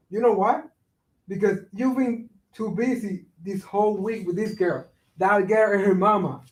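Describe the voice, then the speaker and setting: A man's voice: high-pitched, a little mellow. A man playing a hurt, resentful brother in a staged reading, speaking in a heated, accusing way. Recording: roomy echo, distant microphone.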